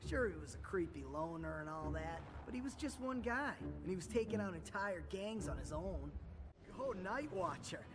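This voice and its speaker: A teenage cartoon turtle for a video game, a slangy surfer dude with a high voice that swoops with enthusiasm. Music plays behind him. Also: male voice, animated, normal speed. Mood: enthusiastic. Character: laid-back, excitable teenage mutant turtle surfer dude. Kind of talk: video game cutscene voice acting.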